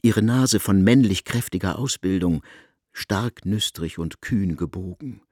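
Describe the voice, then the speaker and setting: Deep, husky male literary narrator. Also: a little mellow, no room sound, studio quality. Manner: measured, deliberate storytelling.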